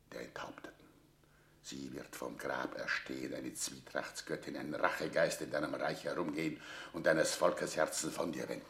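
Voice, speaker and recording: Aged nobleman counsellor for classical radio drama: extremely low, gravelly, solemn, pleading with principle, in a roomy acoustic. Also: a bit bright, full character voice. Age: older. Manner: solemn, urgent rhetorical pleading. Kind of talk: classical German stage-verse radio drama.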